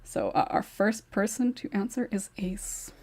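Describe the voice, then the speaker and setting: Gravelly-voiced woman hosting a chat. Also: medium pitch, a little slow, a little mellow. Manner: chatty, laughs between questions. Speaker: podcast host. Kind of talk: casual panel chat.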